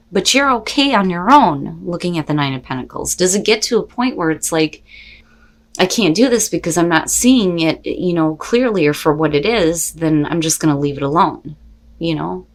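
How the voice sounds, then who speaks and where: A woman with a fairly low-pitched voice reads tarot cards for her viewers. She speaks off the cuff in a casual, expressive, conversational manner, peppered with rhetorical questions. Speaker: tarot reader and host. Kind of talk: casual, unscripted tarot reading addressed to viewers.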